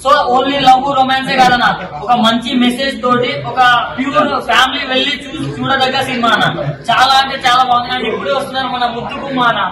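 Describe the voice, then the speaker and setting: A man's voice: very high voice, flat delivery, quick pace. A man giving a gushing, casual film reaction as a moviegoer, repeating himself for emphasis and mixing in English words. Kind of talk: casual excited public reaction. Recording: echoey, crowd around, distant from mic.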